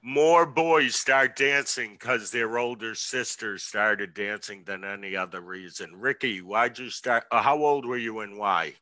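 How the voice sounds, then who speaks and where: A man hosting a panel talk over a video call and asking his guests questions in an unhurried, friendly way. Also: fairly low pitch, bright, forceful tone, no room sound. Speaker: host.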